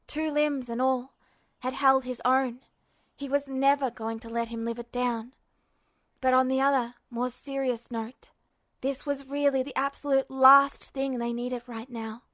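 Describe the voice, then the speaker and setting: A woman's voice: high voice, fairly even intonation, measured pace, fairly bright tone, dry recording. A woman reading scripted fiction as an audiobook-style narrator. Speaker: podfic narrator.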